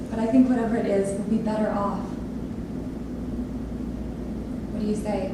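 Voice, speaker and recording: A woman's voice: mid-range voice, natural voice. An actress playing an earnest, intense grown daughter of an abusive father in a staged play reading. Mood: pleading, quiet. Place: theatre lab room with an audience area. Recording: very echoey room, distant mic, noisy.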